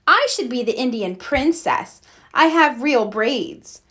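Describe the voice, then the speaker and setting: A woman's voice: sits high, animated, takes it slowly, a bit bright, voice pushed up, exaggerated voice. A woman voicing a spoiled, vain rich schoolgirl who whines and squeals, in a children's storybook. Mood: whiny, entitled. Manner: whining, squealing.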